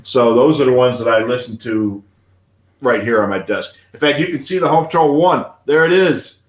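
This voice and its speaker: A man's voice: medium pitch, moderate pace. An American man hosting a live hobby show in a casual, rambling, anecdotal way. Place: indoor room at a desk. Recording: echoey room.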